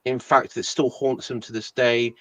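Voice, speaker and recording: A man's voice: mid-range voice, level tone, normal speed, a bit bright, no room sound. A man co-hosting a casual online political chat, rambling with many fillers like um and you know.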